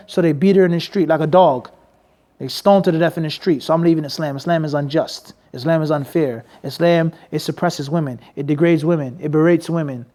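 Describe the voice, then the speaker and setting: High-pitched male teacher, punchy rhetorical style, mosque talk. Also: dark tone. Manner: emphatic, asks rhetorical questions to the crowd. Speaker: Islamic teacher. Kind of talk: lecture with Q&A.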